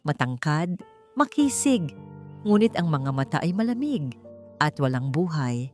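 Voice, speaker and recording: A woman storyteller reads a dramatic scripted romance. Her voice sits a little low, and music plays softly behind the narration. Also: big pitch swings, unhurried.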